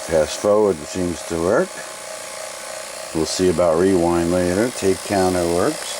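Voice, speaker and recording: Low-voiced man giving an off-the-cuff demonstration. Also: unhurried, background noise. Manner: tentative, thinking aloud as he goes. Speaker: demonstrator of vintage video equipment.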